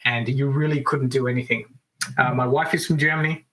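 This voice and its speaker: A middle-aged man with an Australian accent, a business coach chatting as a guest over a remote call, upbeat with quick asides. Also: mid-range voice, steady tone, pushed and bright, low-quality recording. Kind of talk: casual interview storytelling.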